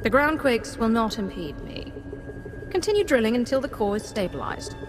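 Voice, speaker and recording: A woman's voice: a little high, sing-song, normal speed, noisy background. Haughty, ruthless female Sith warlord, swooping delivery. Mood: commanding. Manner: haughty, grandiose, commanding. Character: ruthless, bloodthirsty female dark-side Sith warrior, member of ruling council. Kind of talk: video game voice acting. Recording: game audio under loud music. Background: orchestral game music.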